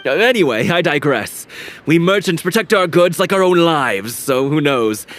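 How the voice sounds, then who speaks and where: A man's voice: on the high side, expressive, normal speed, fairly bright tone. An actor playing a courteous, chatty male merchant in a video game. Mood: friendly. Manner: courteous, rambling.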